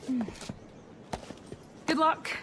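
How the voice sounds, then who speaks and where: A woman's voice: drawn-out words, background noise. An actress playing a wry, teasing female ally of a pirate in a conversational video game scene. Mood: wry, curious. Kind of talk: dramatic video game cutscene acting. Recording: music under the voice.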